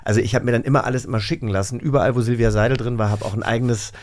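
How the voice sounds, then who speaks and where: A man in his early forties, a podcast host and actor, telling stories in a calm, reflective way with frequent "äh" fillers. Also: mid-range voice, very fast, no room sound. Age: early 40s. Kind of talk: casual conversation.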